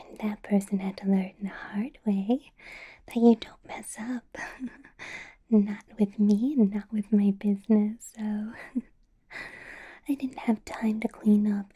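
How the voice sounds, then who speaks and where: Vampire boss, female, ASMR roleplay: very mellow, airy whisper, slightly high, amused menace. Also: heavily acted. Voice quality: soft whispering, breathy. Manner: sweetly possessive, amused with an undercurrent of threat.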